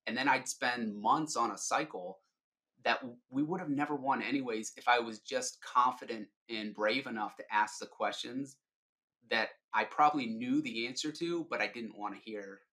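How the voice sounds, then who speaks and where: A middle-aged man speaks as an interview guest with a bright, forceful voice at an ordinary pitch. He explains his points informally, often setting up a question before answering it. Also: moderate pace. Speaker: sales leader, podcast guest.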